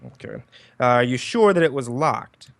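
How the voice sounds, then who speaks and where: A man's voice: medium pitch, expressive, normal speed, vocal fry, fairly bright tone. Man playing a wry, laid-back private eye. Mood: wry, inquisitive. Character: wisecracking, flirtatious male private detective, ex-cop. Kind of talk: 1940s detective radio drama. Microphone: livestream performance.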